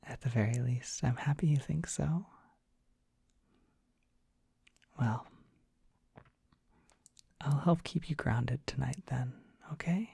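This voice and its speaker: A performer voicing a gentle, shy young dragon boy in an ASMR comfort roleplay, speaking in a soft breathy whisper with a hesitant, tender, soothing manner. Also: medium pitch, unhurried, pauses often, no room sound, heavily acted. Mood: bashful, soothing.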